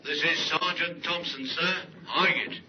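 A muffled, faintly noisy old radio recording of an actor as a dutiful police sergeant, speaking in a fairly high male voice. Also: unhurried, close to an everyday voice. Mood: businesslike. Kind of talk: vintage radio drama acting.

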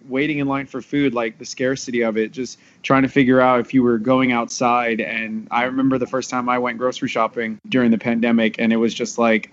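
American man in flat, casual conversation. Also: mid-range voice, lo-fi sound. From Texas, USA. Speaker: voice actor discussing an audio drama. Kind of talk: casual panel discussion.

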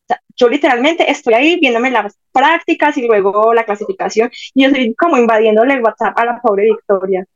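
A woman's voice: a little high, brisk. Chatty young Colombian woman, muffled line. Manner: chatty, animated storytelling. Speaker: podcast guest, Instagram page admin. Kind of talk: casual chat.

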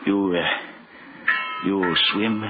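An actor playing a greedy, treacherous man with a halting, gloating manner in a 1940s radio horror drama. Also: deep voice, fairly even intonation, very noisy. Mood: sly, hesitant. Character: treacherous, greedy man who kills his friend. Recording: muffled 1940s radio broadcast with music.

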